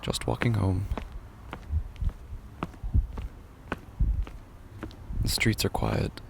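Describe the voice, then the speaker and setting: Guy acting as uneasy city man telling a scary story, really low voice, lots of fry, hushed and deliberate. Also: background noise. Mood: uneasy, suspenseful. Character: uneasy city dweller recounting a creepy homecoming. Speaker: narrator of a horror fiction podcast. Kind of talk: scripted first-person horror narration.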